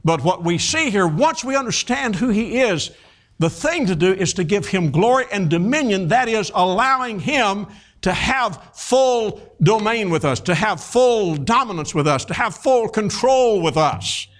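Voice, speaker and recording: Animated folksy preacher, high lively voice. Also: male voice, measured pace. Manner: folksy, animated storytelling with many restarts and fillers. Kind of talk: sermon.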